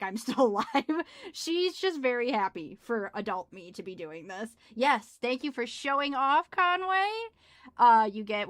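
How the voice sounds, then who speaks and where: A young woman with a gruff Midwestern accent, chatting casually and thanking viewers on a live stream from her small home office. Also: medium pitch, pushed and bright, dry recording, clean studio sound. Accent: Midwestern American. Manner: bubbly, thanking viewers, laughs often.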